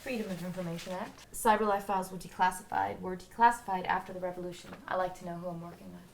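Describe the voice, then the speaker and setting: Woman acting as a smooth flattering android lady, lowish voice, quietly sinister, some room noise. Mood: smooth, probing. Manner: polite, deliberate, probing. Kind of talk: table read of a fan film script. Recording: noisy room recording.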